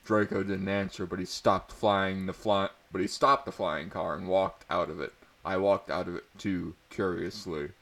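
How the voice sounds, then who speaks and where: A man's voice: sits low, steady tone, moderate pace, gravelly, hardly any pauses, a bit bright. A man doing a mock-dramatic read-aloud of amateur fiction, with asides and a tendency to crack up. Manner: often breaks into laughter while reading. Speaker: amateur reader of fan fiction.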